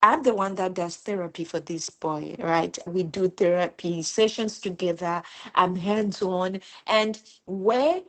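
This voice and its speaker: A woman's voice: lowish voice, a little slow. A woman from Zimbabwe, a homeschooling mother, talking in a casual interview and telling her stories earnestly and with feeling. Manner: earnest, animated storytelling with repeated words.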